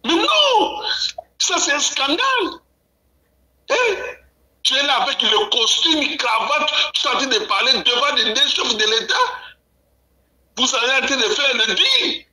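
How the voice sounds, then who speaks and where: High bright man, insistent "hein?". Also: animated, measured pace, long pauses, echoey room, low-quality recording. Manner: repeats himself, punctuates with "hein?". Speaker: guest commentator. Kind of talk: heated political talk.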